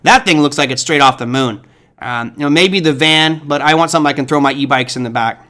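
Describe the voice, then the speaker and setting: Bright-voiced, chatty male stream host. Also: a little high, brisk. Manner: chatty, jokey, rambling with many fillers. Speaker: host of a trading livestream. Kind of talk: casual livestream chat answering viewers.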